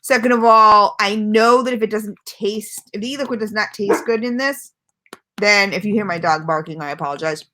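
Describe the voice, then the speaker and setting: A woman's voice: medium pitch, normal speed, sharp tone, slight background noise. A woman chatting casually at home as she reviews a product for her channel, rambling and self-deprecating, with lots of fillers. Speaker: vlogger reviewing e-liquids. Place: at home, not her usual setup.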